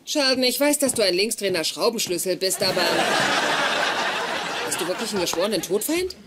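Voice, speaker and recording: Actress as a blunt, animated young woman. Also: mid-range voice, a little fast, close to an everyday voice. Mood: incredulous. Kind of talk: German dubbed sitcom acting. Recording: noisy sitcom soundtrack.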